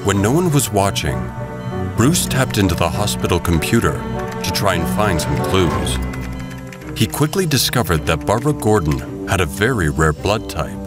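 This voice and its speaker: A man's voice: low voice, sing-song, moderate pace. A man reading scripted dramatic story narration as the narrator. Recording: loud music bed. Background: loud music.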